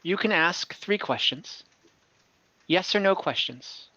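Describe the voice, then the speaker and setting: A woman's voice: on the low side, expressive, takes it slowly, bright, forceful tone, muffled recording, exaggerated voice. A man voicing a worldly brothel madam who offers answers for a price, with a teasing, businesslike manner.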